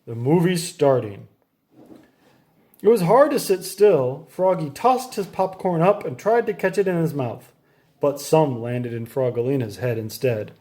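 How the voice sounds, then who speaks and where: A man reading a children's story aloud in a playful, animated way, leaning on the sound words. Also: fairly high pitch, normal speed. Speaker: storybook reader.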